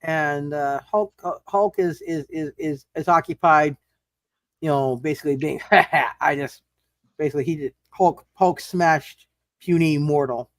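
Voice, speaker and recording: A man's voice: a little high, fairly even intonation, normal speed, a bit bright, dry recording. A man narrating a live tabletop superhero game as game master, stammering and often repeating his words.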